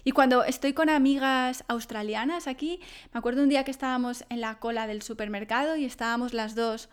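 Woman from Spain, Spanish accent, lively chatty storyteller, fairly high pitch. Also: a little fast, clean tone. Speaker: vlogger living abroad. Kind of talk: casual chat to camera.

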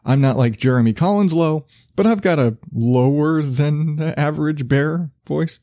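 Male podcast host, low mellow voice, casual profane rambler, dry booth sound. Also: unhurried, no room sound. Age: not a millennial. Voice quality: relatively low voice. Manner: loose, profane, self-deprecating with many restarts.

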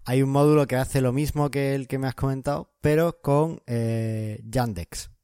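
Male co-host, warm even tone, dry mic, talks listeners through advice. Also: mid-range voice, normal speed, clear, resonant tone, no echo. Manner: explanatory, advising listeners. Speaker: podcast co-host. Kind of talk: casual chat.

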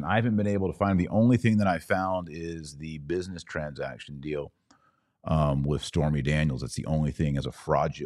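Very deep-voiced American male podcast host. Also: darkish tone, no echo, studio quality. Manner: conversational, poses rhetorical questions, thinks aloud. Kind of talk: live commentary, reading and answering viewer comments.

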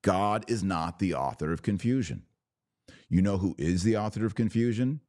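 A man hosting a religious podcast, preaching in an emphatic, declarative way with deliberate pauses and repetition. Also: on the low side, lively intonation, a little mellow, dry recording, clean studio sound. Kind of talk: preaching monologue.

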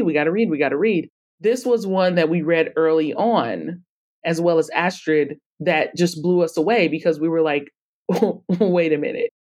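A woman with a fairly low voice talks as a podcast guest. She explains things in an emphatic, conversational way, often slipping into quoted remarks to make her point. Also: a little mellow. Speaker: guest author and anthology publisher.

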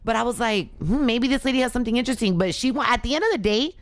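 A young adult woman, chatting as a podcast guest, telling animated stories and laughing often. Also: on the high side, racing, no room sound, clean studio sound. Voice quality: raspy. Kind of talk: casual chat.

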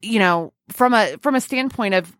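A woman's voice: medium pitch, expressive, normal speed, a bit bright, no room sound. Dry-sounding woman chatting casually on a podcast. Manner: chatty, informal, playful. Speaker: podcast host. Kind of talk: casual chat.

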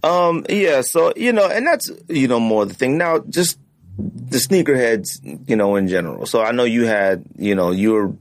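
A man's voice: medium pitch, lively intonation, normal speed. A man hosting a podcast in casual chat, rambling and peppered with filler phrases. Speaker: podcast host.

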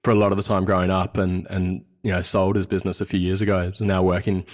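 A man's voice: low voice, level tone, quick pace. An Australian man in his thirties chatting casually as a podcast guest, hesitant and searching for his words. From Australia, born in New Zealand. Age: 30s. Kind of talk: casual interview chat.

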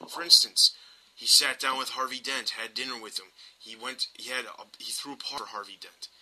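A man with a sharp, very bright voice at an average pitch. He hosts a podcast and talks film casually, pressing his opinions with emphasis. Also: fairly even intonation, fairly quick, rough recording. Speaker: podcast host.

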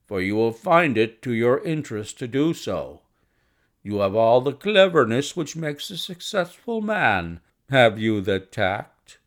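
A man voicing a blunt, bustling gentleman investor in an audiobook, with abrupt, emphatic phrasing and stretched, stressed words. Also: mid-range voice, very animated, measured pace. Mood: blunt, probing. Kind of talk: audiobook character voice.

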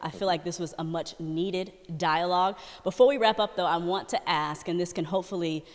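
A woman's voice: fairly low pitch, steady tone, a little fast, long reverb tail. A woman, a church member, earnestly interviewing a pastor in conversation.

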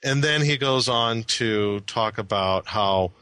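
A man co-hosts a casual podcast, sarcastic and a bit halting as he searches for words. His voice has a bright edge. The sound is close and dry. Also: lowish voice, clear, resonant tone, no echo, low-quality recording. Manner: sarcastic, hesitant with fillers. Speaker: podcast co-host.

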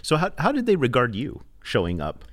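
A man's voice: medium pitch, wildly swooping pitch, brisk, dry recording, clean studio sound. A man hosting a podcast, asking a guest probing questions and restarting them as he goes. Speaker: podcast host. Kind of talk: interview questions.

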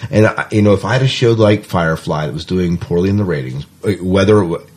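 A man co-hosting a podcast, chatting casually about the news in a husky voice. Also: low-pitched, expressive, quick pace, a little mellow. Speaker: podcast host.

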